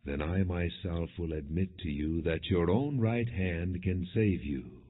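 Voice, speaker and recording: A man reading scripture as an audiobook narrator in a solemn, measured, declamatory manner. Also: low voice, breathy edge. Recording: muffled, narrow phone-like sound.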